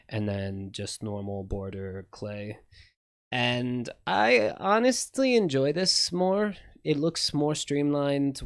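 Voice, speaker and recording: A man's voice: mid-range voice, clear, resonant tone, dry recording. A man with a slight lisp, chatting casually to his stream viewers in a rambling, profane, self-correcting way. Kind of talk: casual livestream chat.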